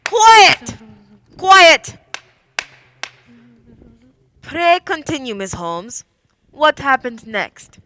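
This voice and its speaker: A woman plays a commanding lady prefect in a radio play. Her high, bright, expressive voice puts questions formally and with clear authority. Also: very slow, pauses often, a little hiss or hum, heavily acted. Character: commanding female French prefect presiding over questioning. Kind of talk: stage-style radio play acting.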